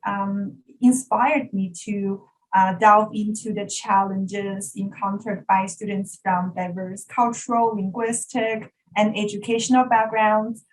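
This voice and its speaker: A woman's voice: mid-range voice, slight background noise, rough recording. A woman from China, a native Mandarin speaker with a Mandarin Chinese accent, politely and formally presenting as the facilitator of an online workshop. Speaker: workshop facilitator, educational developer. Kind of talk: workshop introduction, prepared presentation. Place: online video call session.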